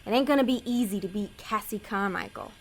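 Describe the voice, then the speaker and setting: A woman playing a tough-talking, sarcastic demon hustler in an audio comedy drama, deadpan and streetwise. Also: medium pitch, a little fast, close to an everyday voice. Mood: brisk, tough.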